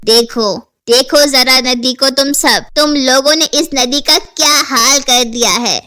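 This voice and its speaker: A woman's voice: high-pitched, a little slow. A woman voicing an angry, powerful river witch in a cartoon horror story, boastful and scolding. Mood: indignant. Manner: scolding, forceful. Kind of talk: animated Hindi horror story dialogue.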